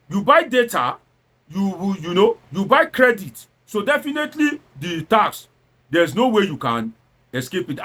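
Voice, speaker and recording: A man's voice: high voice, fairly bright tone, slightly rough. A man with a Nigerian accent giving informal satirical political commentary, theatrical and exclamatory, slipping into Pidgin and throwing out rhetorical questions. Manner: slips between standard English and Nigerian Pidgin.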